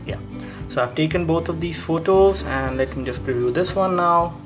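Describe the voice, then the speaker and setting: A man's voice: a little high, normal speed, over music. A man giving an unscripted product comparison review, explaining and thinking aloud in a repetitive way as he demonstrates. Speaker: tech reviewer and presenter.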